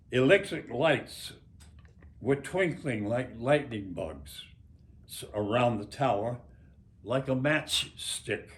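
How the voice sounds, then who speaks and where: A man in his nineties with an American accent reads his own literary memoir aloud in a measured, deliberate way, with dramatic phrasing and pauses. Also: mid-range voice. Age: 90s. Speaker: author.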